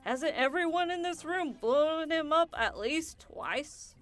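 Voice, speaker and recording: A woman playing an excitable, scatterbrained supervillain in a comedic radio play. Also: high voice, takes it slowly, soft background music, full character voice. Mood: amazed.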